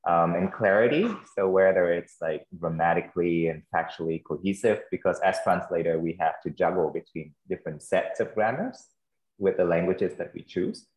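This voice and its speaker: A man's voice: low voice, moderate pace, a little mellow, reverberant, low-quality recording. A man with a Vietnamese accent speaking as a translator on a panel, answering thoughtfully with frequent fillers and restarts.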